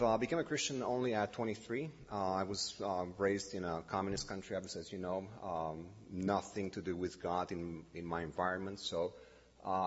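Low, husky Romanian-accented pastor. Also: male voice, in a large hall, lo-fi sound. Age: middle-aged. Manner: halting, many fillers and self-corrections. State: nervous. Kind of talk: informal sermon introduction. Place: church hall.

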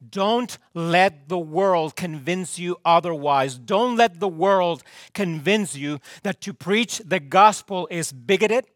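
A male pastor preaching slowly and deliberately, his voice fraying and hoarse from use. He sits a touch higher than most men and repeats his points for weight, letting each line fall away. The sound is dry and close. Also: no room sound. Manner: slow, emphatic, repeats key phrases, drops pitch at line ends. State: losing his voice, clearing throat. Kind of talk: sermon.

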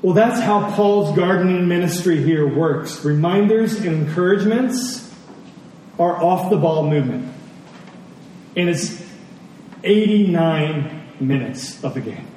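A man's voice: high-pitched, expressive, unhurried, long pauses, a little mellow. A man preaching in a conversational teaching style, earnest, reading scripture, asking rhetorical questions and repeating phrases for emphasis. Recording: very echoey hall, distant mic, faint noise.

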